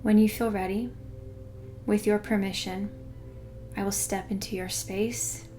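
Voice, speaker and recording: A woman guiding a meditation in a soothing, unhurried way, hushed in places. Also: mid-range voice, fairly even intonation. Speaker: meditation guide. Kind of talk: guided meditation narration. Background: background music.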